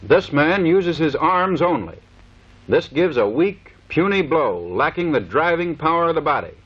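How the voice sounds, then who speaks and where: A man's voice: a little high, very animated, measured pace, husky. An American man giving formal, clipped, scripted instructional narration in the manner of a 1930s military training film. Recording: dull vintage 1930s film soundtrack.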